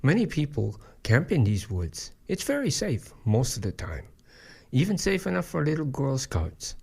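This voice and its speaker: Gravelly, husky old storyteller. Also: male voice, mid-range voice, wildly swooping pitch, moderate pace, darkish tone, exaggerated voice. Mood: eerie, folksy. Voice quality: gravelly, raspy. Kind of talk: audio drama storytelling.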